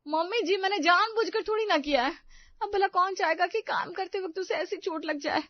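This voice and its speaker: A woman's voice: very high voice, a little fast, dry recording, voice pushed up. A woman voicing a harried, aggrieved elder daughter-in-law in a family story, plaintive and emphatic, in a slightly dull recording. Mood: indignant pleading.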